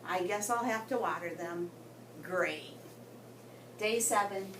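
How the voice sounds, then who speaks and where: A woman reading a story aloud to children in an expressive way, with dramatic pauses and emphasis. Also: mid-range voice. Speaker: guest story reader for children. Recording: roomy, distant mic with background noise.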